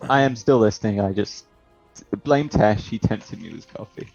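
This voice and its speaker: A man's voice: fairly low pitch, normal speed, vocal fry, a little mellow, highs cut off, faint music behind. A man in his twenties, a player, teasing and playful in casual chat. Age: 20s.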